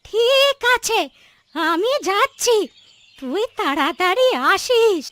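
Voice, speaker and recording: A woman's voice: very high-pitched, sing-song, slow, a bit bright, dry recording, full character voice. A woman voicing a worried, grumbling old village grandmother in a cartoon story. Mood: anxious. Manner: exclaiming, complaining, fretful. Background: background music.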